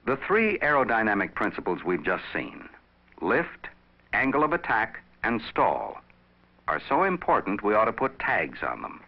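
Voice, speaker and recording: The voice is a low-pitched man, narrating an instructional film. He explains in a measured, didactic way, with plenty of rise and fall in his intonation. The sound is dull and lo-fi, like a vintage training film soundtrack. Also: huge pitch swings.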